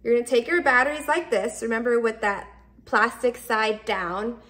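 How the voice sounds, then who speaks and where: A woman's voice: a little high, moderate pace, a bit bright. A woman in her thirties giving a casual step-by-step product demonstration at home, explaining simply and repeating instructions as she goes. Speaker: product reviewer. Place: indoors, open kitchen and dining room at home.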